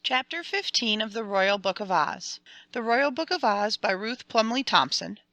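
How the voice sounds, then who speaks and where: A woman narrating a classic fairy-tale audiobook in a clear, expressive read. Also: on the high side, moderate pace, no room sound. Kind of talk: dramatic storybook narration.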